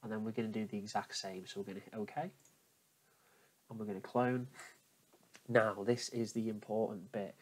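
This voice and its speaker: A man talking viewers through a software tutorial step by step, in an informal, explanatory manner. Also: lowish voice, steady tone, normal speed, long pauses, rough recording. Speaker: tutorial host. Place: indoors at a computer, voice over screen capture.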